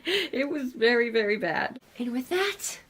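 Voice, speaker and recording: A woman's voice: fairly high pitch, very animated, unhurried, fairly bright tone, slightly rough. A woman hosting a sewing vlog, talking in an informal, candid and self-deprecating way as she chats and narrates her project. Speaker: sewing vlogger and host.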